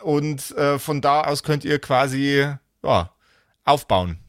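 A man's voice: medium pitch, animated, slow pace, no room sound, clean studio sound. A man speaking informally as a game master on a podcast, chatting and explaining rules. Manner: informal, colloquial. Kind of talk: casual chat and rule explaining.

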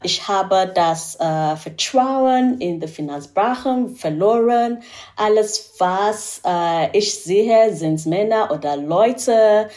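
Frau mit englischem Akzent, langsam sprechend. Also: female voice, mid-range voice, a little mellow. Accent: English-accented German. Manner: halting, searching for words. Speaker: podcast guest, financial adviser. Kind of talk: interview answers in a second language.

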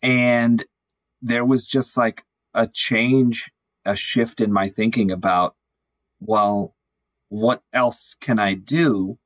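A man's voice: mid-range voice, fairly even intonation, slow pace, a bit bright, no room sound. A man speaking as a podcast guest, telling personal stories in a casual, conversational way.

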